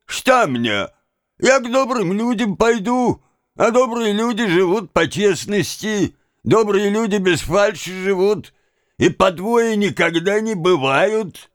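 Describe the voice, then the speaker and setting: A man's voice: high-pitched, expressive, slow, no echo, clean studio sound, voice pushed up. A man voicing a tipsy, insolent manservant in an audiobook, drawling and repeating himself in an obstinate, evasive way. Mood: defiant. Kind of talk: dramatised audiobook of a classic novel.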